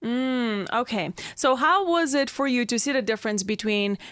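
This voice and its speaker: A woman's voice: a little high, lively intonation, moderate pace, fairly bright tone, no room sound, clean studio sound. A young woman hosting a podcast interview, encouraging her guest with frequent mm-hmms and exclaimed wows. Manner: encouraging, frequent mm-hmm and wow. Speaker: podcast host. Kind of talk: casual interview.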